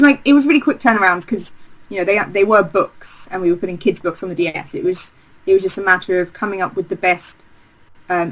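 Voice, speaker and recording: A woman's voice: lowish voice, a bit bright, low-quality recording. A woman, telling her career story in a chatty, rambling way, full of fillers, restarts and enthusiasm. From Essex, England. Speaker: game designer guest. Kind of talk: casual interview chat.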